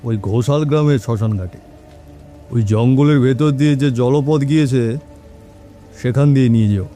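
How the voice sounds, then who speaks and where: Horror-story role: a man plays an imperious, threatening landlord, very dark muffled tone, stern orders, music behind. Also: medium pitch, moderate pace, lightly acted. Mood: fearless, curt. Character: haughty, fearless, threatening male landlord. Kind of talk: Bengali horror story character voice.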